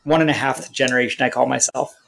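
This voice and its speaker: A man's voice: mid-range voice, fairly even intonation, fast talker, gravelly, a bit bright, a little hiss or hum. A man who grew up in India and Canada, with Hindi as his first language, giving articulate, structured answers as an interview guest. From India, raised in Canada. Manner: articulate, structured, lists points. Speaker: guest, software company CEO.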